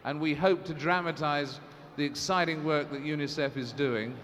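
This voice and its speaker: A man's voice: a little high, normal speed, fairly bright tone. A man moderating a press conference, speaking formally and measuredly, with frequent restarts and fillers. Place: large assembly hall. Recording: reverberant hall, faint noise.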